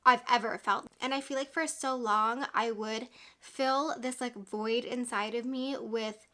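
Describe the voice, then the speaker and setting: A woman's voice: on the high side, fairly even intonation, measured pace, a bit bright. A woman in her late twenties chatting candidly to her viewers as a YouTube vlogger, a little congested from a cold and full of "like" fillers. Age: 20s. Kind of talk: casual sit-down chat to camera.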